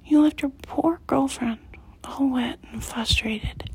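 A woman whispering close and low in an intimate roleplay, her voice very airy and breathy. She talks slowly with a mellow, dark tone sitting a little high in pitch.

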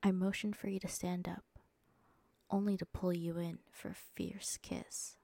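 A woman whispering an erotic story softly and dominantly into a close mic. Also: fairly low pitch, flat delivery, unhurried, vocal fry, no echo. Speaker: erotic audio narrator.

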